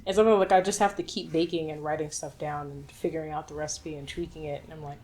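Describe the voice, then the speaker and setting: A woman's voice: sits low, fairly even intonation, fast, darkish tone, slight background noise, low-quality recording. A woman co-hosting a podcast, talking in casual, informal conversation. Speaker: podcast co-host. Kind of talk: casual chat.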